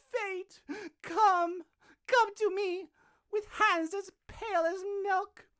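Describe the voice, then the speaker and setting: A woman's voice: extremely high pitch, a bit bright, heavily acted. A woman playing an overwrought, grieving young female lover in a Shakespeare audio drama, wailing and drawing out the words. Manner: overwrought, wailing, drawn-out delivery. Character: amateur player as Thisbe, melodramatic young female lover in mourning.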